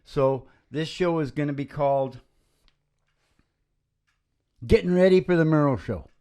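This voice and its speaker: A man hosting a homemade radio show in casual, rambling banter, with halting, folksy asides. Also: on the high side, mellow, smooth. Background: acoustic guitar playing.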